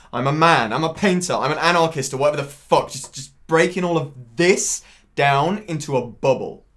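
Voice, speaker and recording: Actor doing a troubled guy in a film drama, bitter and self-loathing, halting, sweary, mid-pitched voice. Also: close to an everyday voice. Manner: halting, searching, profane, repeating words.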